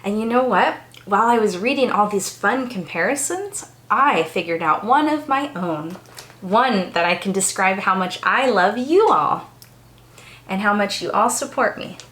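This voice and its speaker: American lady doing kids storytime, super sing-song and bubbly, slow pace, echoey room. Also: medium pitch, lively intonation, fairly bright tone. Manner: bubbly, enthusiastic, exaggerated child-directed delivery. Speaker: children's storytime teacher and host. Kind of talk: read-aloud storytime for young children.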